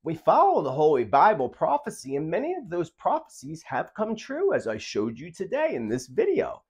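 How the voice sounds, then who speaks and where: A man's voice: a little high, huge pitch swings, moderate pace, slightly rough. A man hosting a video, speaking earnestly and emphatically straight to his viewers in commentary style. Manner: earnest, emphatic, rhetorical questions. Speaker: host of a prophecy video channel.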